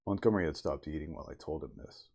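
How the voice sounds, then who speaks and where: A man giving a theatrical, deliberate ASMR storybook reading as the narrator of a classic novel. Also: rumbling low, lively intonation, creaky, mellow, slightly rough.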